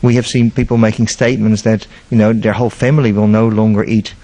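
A middle-aged man speaks earnestly in an interview, his fairly low voice edged with a gravelly fry. He often doubles words as he searches for what to say. He talks into a handheld mic held out by the interviewer. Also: little pitch movement, fast, mellow, no echo. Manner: earnest, repeats words while thinking. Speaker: animal welfare campaign director. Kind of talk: interview answers. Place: indoors.

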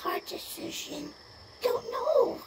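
A woman voicing a small, timid, childlike mushroom creature in a game, speaking in halting broken phrases. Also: extremely high pitch, very animated, very noisy, heavily acted. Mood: halting, anxious. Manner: halting broken phrases, one or two words at a time. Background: loud background music.

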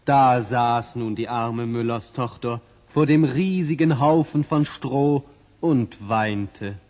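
A man's voice: medium pitch, takes it slowly, darkish tone. A man narrating a fairy tale in the scripted storytelling style of a 1960s audio play. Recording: long reverb on old record.

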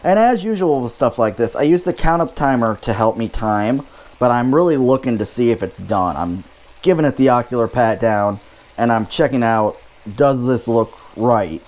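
A man's voice: medium pitch, animated, normal speed, darkish tone. A man hosting a home cooking show, talking through each step in a casual way with dry, wry asides.

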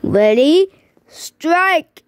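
A woman giving excited, exclaiming commentary while playing a mobile bowling game. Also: extremely high pitch, extremely slow, soft background music. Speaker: gamer.

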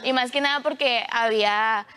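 A woman's voice: fairly high pitch, moderate pace, pushed and bright, clear, resonant tone. A woman, speaking as a student guest in a live TV interview. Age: 20s. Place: indoor television studio.

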